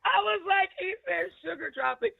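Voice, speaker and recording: A woman's voice: very high voice, expressive, moderate pace, vocal fry, shouting. A woman with a smoky voice chatting casually and candidly about podcasts, swearing freely and prone to laughing. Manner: candid, profane, laughs often. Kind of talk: casual chat, commentary on podcasts. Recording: thin, tinny phone-line audio.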